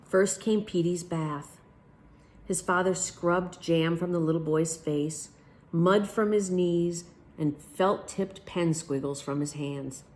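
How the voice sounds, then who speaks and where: Lower-voiced woman reading a children's story aloud. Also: slow. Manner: expressive, acts out character lines. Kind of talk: children's picture book read aloud.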